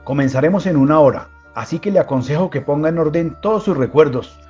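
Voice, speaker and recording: An actor playing a stern priest who sits as judge in an audio drama, speaking in a solemn, decided, menacing way. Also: male voice, fairly high pitch, lively intonation, quick pace, darkish tone, muffled recording, faint music behind, lightly acted. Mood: solemn, threatening.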